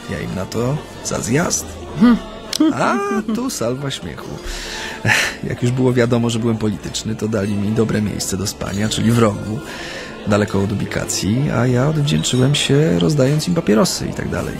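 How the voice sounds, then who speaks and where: Radio drama: male dissident raconteur, amused, halting and conversational, mid-pitched, with faint music underneath. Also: animated, vocal fry. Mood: amused storytelling. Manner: hesitant, chatty, amused storytelling. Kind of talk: radio drama acting, recounting memories in an interview.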